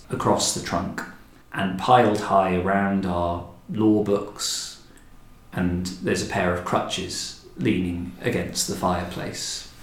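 A man hosting a podcast in a measured, articulate, deliberate and descriptive way. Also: low voice. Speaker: podcast host, director of a historic house. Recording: roomy, echoing indoor sound.

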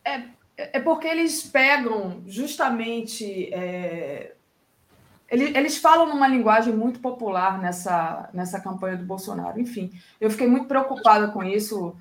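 A woman's voice: medium pitch, animated, moderate pace. A woman hosting a live political interview, asking long rambling questions full of fillers. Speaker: host. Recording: roomy echo.

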